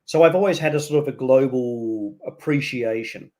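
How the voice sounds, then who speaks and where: A man's voice: medium pitch, moderate pace, dark tone. Middle-aged Australian man, thoughtful interviewee. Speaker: interview guest, education expert and author. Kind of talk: conversational interview answers.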